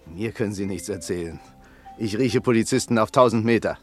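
Actor as a deep-voiced, shrewd old hand. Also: male voice, fairly quick, faint music behind, lightly acted. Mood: defensive. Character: shrewd, self-satisfied old hand, suspicious man in exile. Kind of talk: radio play acting.

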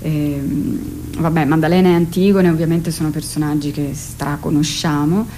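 A woman talking casually as a radio interview guest, halting and searching for words with drawn-out hesitations. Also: low voice, steady tone, mellow, slight background noise. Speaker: interview guest, theatre artist and writer. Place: radio studio.

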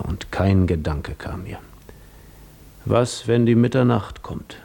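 An actor playing a weary, despairing wanderer telling his story in a brooding, halting, husky voice for a 1950s German radio drama. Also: low-pitched, warm tone, exaggerated voice. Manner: brooding, halting, literary narration.

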